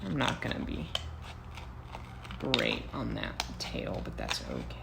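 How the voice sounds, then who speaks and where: A man's voice: lowish voice, wildly swooping pitch, slow, vocal fry, heavy background noise. An American man, a first-grade teacher, gives a casual, playful craft demonstration for young children, talking to them as he works.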